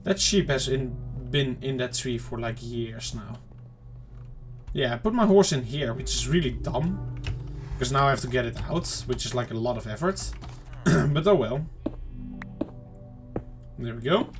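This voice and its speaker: A man's voice: medium pitch, a little slow, long pauses, soft background music. Chatty man with a scratchy throat. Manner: rambling, chatty self-talk to viewers. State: throat slightly off, clearing it often. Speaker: gaming channel host. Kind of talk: casual let's-play commentary.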